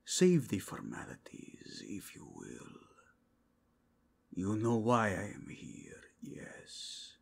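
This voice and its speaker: A man voicing a solemn, zealous werewolf cardinal in an ASMR roleplay, speaking formally and deliberately in a breathy, hushed rasp. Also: lowish voice, huge pitch swings, exaggerated voice. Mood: curt, commanding. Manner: formal, deliberate, drawn-out phrasing.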